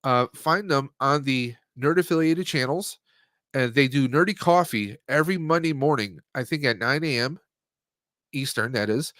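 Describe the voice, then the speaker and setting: A man's voice: mid-range voice, moderate pace, fairly bright tone, no echo. Man chatting casually, close dry sound. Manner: rambling, conversational, often circling back and rephrasing. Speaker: podcast host. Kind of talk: casual live-stream movie review.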